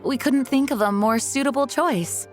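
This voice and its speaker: A woman's voice: sits high, moderate pace, faint music behind, lightly acted. An actress voicing a courteous, composed female knight leader in a video game. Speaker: acting grandmaster.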